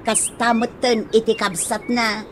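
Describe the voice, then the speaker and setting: A woman's voice: on the high side, flat delivery, unhurried, slight background noise, natural voice. A woman playing a wife who questions and reasons with her husband in an Ilocano comedy radio drama. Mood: questioning.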